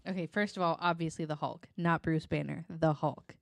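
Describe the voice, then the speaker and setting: A woman's voice: low-pitched, steady tone, moderate pace, creaky, dry recording, clean studio sound. A woman co-hosting a podcast, chatting casually and telling rambling, jokey stories while interrupting herself. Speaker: podcast co-host. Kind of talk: casual chat and rambling storytelling.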